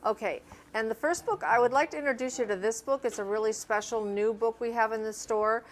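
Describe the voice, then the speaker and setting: A woman's voice: on the high side, a little fast, a little hiss or hum. An older woman speaking informally as a guest on a show, hesitant and often correcting herself. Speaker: guest, store staff member. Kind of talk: informal introduction and presentation.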